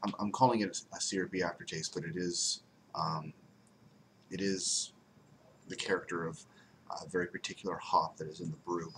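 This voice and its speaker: Low-voiced young man, rambling beer review. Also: fairly even intonation, normal speed, a bit bright, a little hiss or hum. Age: young adult. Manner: rambling, repeats words for emphasis. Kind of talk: casual video review. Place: home room by window blinds, indoors.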